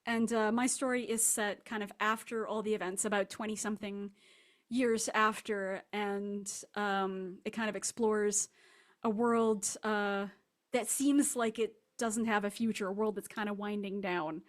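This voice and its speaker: A woman's voice: mid-range voice, fairly even intonation, unhurried. A woman speaking casually as a guest author in an interview, rambling and self-deprecating. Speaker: guest fiction writer.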